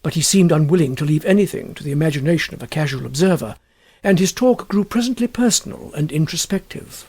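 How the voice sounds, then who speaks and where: A man's voice: a little high, animated, quick pace, a little mellow, slightly rough. A man reading a comic literary short story as scripted narration. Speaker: audiobook reader.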